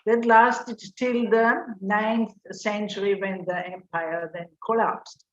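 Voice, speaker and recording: A woman from Austria giving an academic paper presentation over a video call, explaining in a measured way with some fillers. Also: medium pitch, fairly even intonation. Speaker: conference speaker. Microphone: computer mic on video call. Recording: roomy lo-fi video call audio with faint noise.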